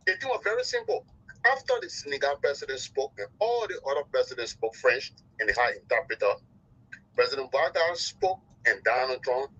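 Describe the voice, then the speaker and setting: A man's voice: medium pitch, fairly bright tone, slightly rough. A man with a Liberian accent phoning a talk show, giving emphatic, impassioned political commentary and repeating phrases for effect. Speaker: phone-in caller. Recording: dull lo-fi call audio.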